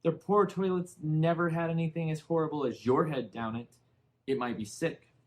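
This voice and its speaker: A man's voice: fairly high pitch, steady tone, normal speed, voice pushed up, natural voice. A man voicing a meek but cheeky young orphan boy in a storybook reading.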